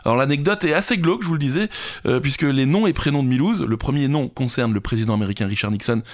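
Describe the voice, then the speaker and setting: A man's voice: medium pitch, fast. A man co-hosting a quiz podcast, telling trivia in an informal, jokey way. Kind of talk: casual quiz banter with trivia anecdotes.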